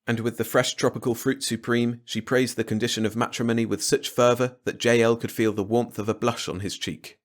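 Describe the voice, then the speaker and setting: A man's voice: medium pitch, flat delivery, a little fast, few pauses. A man reading a short story aloud as a scripted audiobook narration. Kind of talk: scripted story narration, bedtime read-along.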